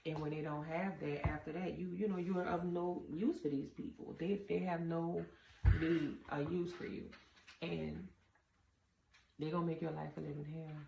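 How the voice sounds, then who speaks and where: A woman with a deep voice gives casual, straight-talking relationship advice to her viewers, calling them y'all with earnest conviction. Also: steady tone, normal speed, vocal fry. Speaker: vlogger giving relationship advice. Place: indoor room with a door. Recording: roomy, slightly dull, faint ambient hum.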